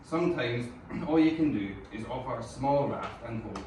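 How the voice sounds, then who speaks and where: A man playing a weary, kindly friend in a screenplay table read, gentle and halting. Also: medium pitch, lively intonation, natural voice. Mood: weary concern. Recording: distant, echoey room with faint noise.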